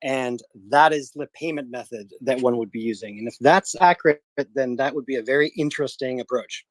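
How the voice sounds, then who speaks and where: A man's voice: mid-range voice, fairly quick, no room sound. A man speaking as a panel guest, offering speculative commentary in tentative phrasing.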